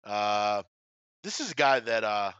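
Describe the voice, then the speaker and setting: A man hosting a casual sports betting discussion, speaking bluntly with some swearing and halting asides. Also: fairly low pitch, big pitch swings, a bit bright, clean tone, dry recording. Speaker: sports betting show host. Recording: slightly dull, dry lo-fi audio.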